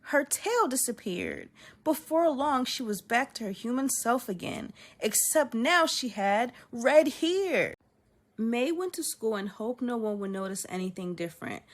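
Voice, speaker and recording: A woman teacher reading a children's storybook aloud in a soft, hushed narration. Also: on the high side.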